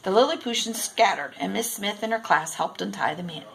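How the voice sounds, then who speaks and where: A woman reading a children's storybook aloud in expressive, deliberate narration. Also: mid-range voice, creaky. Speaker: storybook reader.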